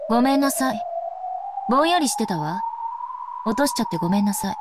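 A woman's voice: a little high, animated, fast talker, noisy background, lightly acted. A woman voicing a moody, irritated teenage girl, curt and aloof, in a Japanese visual novel. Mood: cool, displeased.

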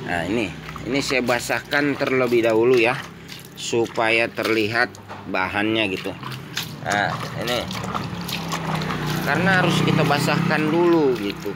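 A man's voice: medium pitch, normal speed, background noise. A man with a slightly nasal voice from a cold, chatting as he shows and explains the stones he sells, filming himself with a phone outdoors. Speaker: seller of stones. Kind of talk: casual selling demonstration. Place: outdoor yard, tiled floor. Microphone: handheld phone camera.

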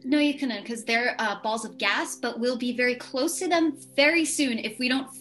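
A woman's voice: fairly high pitch, moderate pace, a bit bright, faint music behind, lightly acted. A woman playing an earnest, urgent young nun in a darkly comic audio play.

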